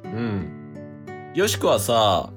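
A man's voice: lowish voice, sing-song, very slow, over music, exaggerated voice. A man playing a casual, nervous teenage boy with a Kansai accent in an amateur radio drama. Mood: tentative, probing.

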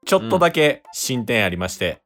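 A man's voice: mid-range voice, big pitch swings, a little fast, fairly bright tone, faint music behind. A man with a Kansai accent bantering casually and comically as co-host of an improvised radio drama, readily giggling. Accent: Kansai Japanese. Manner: giggly, breaks into laughter often.

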